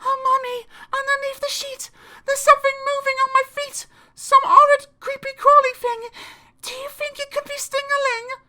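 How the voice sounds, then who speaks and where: A man voicing a frightened small child from a storybook poem, squealing in a shrill, panicky way. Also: little pitch movement, moderate pace, sharp tone, heavily acted.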